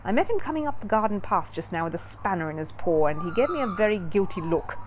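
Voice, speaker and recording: A stern, suspicious storybook housekeeper, acted out by a woman reader. She is grim and knowing, speaking in a wry, clipped way at a medium pitch, with faint noise behind her. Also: big pitch swings, fast talker, lightly acted.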